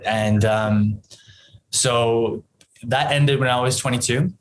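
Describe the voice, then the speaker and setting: A man's voice: mid-range voice, takes it slowly, a little hiss or hum, poor audio. Brief: Australian man, 30s, interview guest, loose and chatty, lots of filler words. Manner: relaxed, rambling, full of ums and you-knows. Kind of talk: casual interview chat.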